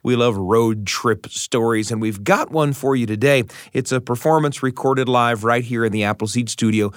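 A man's voice: mid-range voice, normal speed, dry recording. A man hosting a radio show from a studio, giving a scripted but conversational introduction with emphatic, storyteller-like phrasing.

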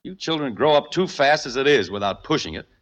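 Actor playing a fretful radio dad, noisy old recording. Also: male voice, mid-range voice, expressive, brisk, close to an everyday voice. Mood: fretful lament. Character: fretful middle-aged family father in radio comedy. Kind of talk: 1940s-50s radio acting.

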